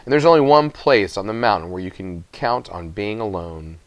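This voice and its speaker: A man's voice: on the low side, moderate pace, dry recording, lo-fi sound. Man reading a storybook, dry sound. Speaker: audiobook reader. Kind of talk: expressive storybook narration.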